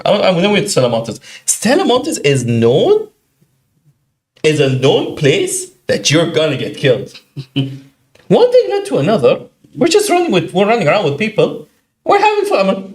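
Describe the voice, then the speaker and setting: A man co-hosting a podcast, telling a video game story in a casual, animated way and acting out what other players said. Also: sits high. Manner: animated, quick, acts out quoted players. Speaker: podcast co-host.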